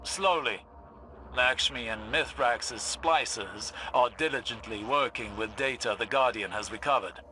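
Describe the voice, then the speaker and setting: An actor playing a sceptical, probing mentor in a video game, with a dry, pointed and formal delivery. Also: male voice, medium pitch, unhurried, pushed and bright, slight background noise, poor audio. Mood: sober, determined. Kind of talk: video game cutscene acting.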